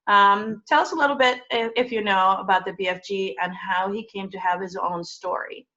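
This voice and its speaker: Bright-voiced American woman hosting. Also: medium pitch, fairly even intonation, normal speed, lo-fi sound. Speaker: library host, interviewer. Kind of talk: casual interview hosting for children. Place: remote recording from home.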